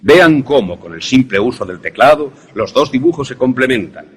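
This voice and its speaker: A man acting an enthusiastic professor in a radio drama, lecturing in a deliberate, didactic, theatrical way. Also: medium pitch, lively intonation, husky. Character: enthusiastic male professor demonstrating an invention to students.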